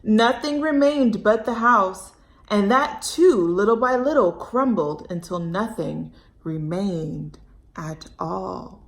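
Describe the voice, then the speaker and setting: A woman's voice: medium pitch, slow. A woman reading a picture book aloud to children expressively, stretching words for emphasis and speaking to young listeners directly. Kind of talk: expressive read-aloud with chatty asides and questions.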